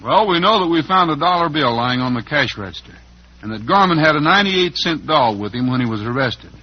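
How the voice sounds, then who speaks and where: A muffled vintage radio broadcast carries a steady, fair-minded cowboy hero. His voice sits a little high and slightly husky. He reasons things out in classic western drama style. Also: a little fast. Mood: determined, reasoning. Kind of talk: old-time western radio drama acting.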